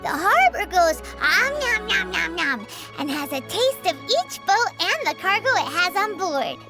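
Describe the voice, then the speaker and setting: Little fairy sidekick for a game, super high squeaky kid-like voice, swoopy and chatty, music behind. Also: female voice, a little slow, exaggerated voice. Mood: playful explaining. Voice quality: squeaky, childlike. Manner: excitable, speaks of self in third person. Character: tiny childlike female fairy guide companion, chirpy, chatty, food-loving. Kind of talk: animated video game voice acting. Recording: background music under voice.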